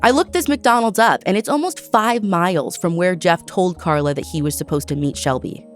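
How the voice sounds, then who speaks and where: A woman's voice: fairly low pitch, moderate pace, soft background music. An American woman hosting a true crime podcast, reading a scripted narration of a case.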